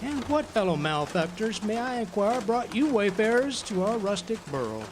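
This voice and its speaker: Man playing pompous, swooping, high-voiced genial drunk. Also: big pitch swings, moderate pace, noisy background. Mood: jovial, pompous. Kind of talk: radio drama acting.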